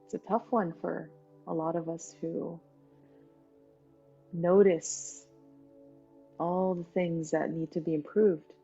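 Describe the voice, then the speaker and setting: A woman leading a guided meditation as its teacher, talking in an unhurried way with pauses between short phrases. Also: lowish voice, fairly even intonation, vocal fry, dark tone, husky. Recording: dull lo-fi sound, music behind. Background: music.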